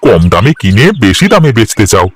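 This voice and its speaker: A man's voice: lowish voice, very animated, brisk, a little hiss or hum. A man voicing a grumbling, teasing junior market trader in a cartoon. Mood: aggrieved, teasing. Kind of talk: cartoon voice acting.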